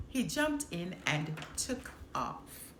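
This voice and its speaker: A woman reading a children's storybook aloud with expressive, deliberate phrasing. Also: lowish voice. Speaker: storybook reader. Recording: roomy echo, some background noise.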